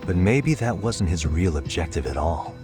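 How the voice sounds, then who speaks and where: A probing detective type in a video game drama. His voice is low and deliberate, calm yet insistent, with a clear score playing underneath. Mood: grave. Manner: pressing, deliberate, serious. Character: dogged, probing male investigator, calm but insistent. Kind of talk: dramatic game cutscene acting. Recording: dramatic music behind.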